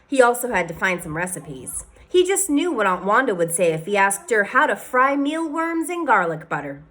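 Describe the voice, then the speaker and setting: A woman teacher reading a children's novel aloud, expressive, sometimes speaking to her listeners. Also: medium pitch, moderate pace.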